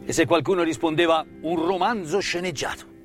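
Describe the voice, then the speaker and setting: Middle-aged man, theatrical storyteller over music. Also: fairly high pitch. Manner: deliberate, emphatic phrasing with dramatic pauses. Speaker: stage actor and storyteller. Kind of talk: theatrical narrative monologue. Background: live instrumental music.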